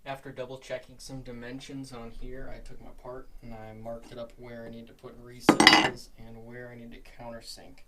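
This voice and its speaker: A man's voice: mid-range voice, monotone, moderate pace, few pauses. A man casually narrating a shop project in an informal, self-deprecating way. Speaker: metal shop worker and vlog host. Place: metal fabrication shop and its office. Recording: distant mic, loud workshop noise, clatter.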